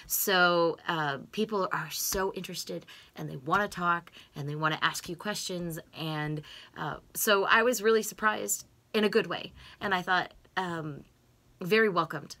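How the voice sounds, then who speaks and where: A woman's voice: on the low side, unhurried, pushed and bright. A middle-aged American woman in casual conversation, speaking expressively with emphatic repetitions, recorded on a desk microphone in a small home room. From United States. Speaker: interviewee, co-host. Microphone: desk microphone on stand.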